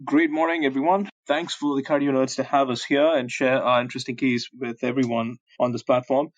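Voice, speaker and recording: A man's voice: mid-range voice, little pitch movement, a little fast, dry recording, low-quality recording. Polite man from southern India, dry sound. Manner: polite, formal greeting. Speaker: cardiology fellow, guest.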